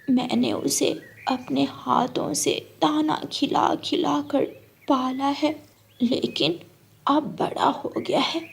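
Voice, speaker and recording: Woman playing a slow, plaintive old woman. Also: sits high, vocal fry, voice pushed up, full character voice. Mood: grumbling, hurt. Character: old motherly cave woman, plaintive, doting, grumbling.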